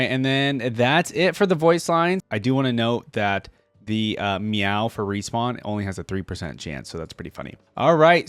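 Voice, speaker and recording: A man's voice: mid-range voice, no echo. A man casually commentating as a video host, rambling conversationally and speaking straight to his viewers. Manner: conversational, addresses viewers directly.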